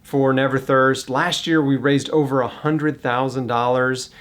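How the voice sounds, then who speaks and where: A man presenting a charity appeal in a casual, earnest way, talking straight to the viewer with rhetorical questions. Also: medium pitch. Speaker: fundraiser and presenter.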